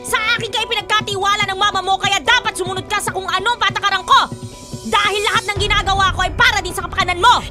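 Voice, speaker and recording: A woman's voice: very high-pitched, fairly even intonation, fast talker, sharp tone, soft background music. A woman playing a stern, exasperated middle-aged aunt in a Filipino radio drama, scolding with melodramatic emphasis. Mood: angry, scolding.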